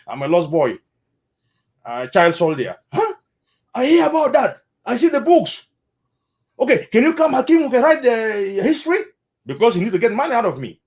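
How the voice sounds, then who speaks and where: Animated South Sudanese man, high lively voice. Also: normal speed, pauses often. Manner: animated, repeats words, mixes in Arabic phrases. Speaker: interviewee. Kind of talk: casual spoken commentary.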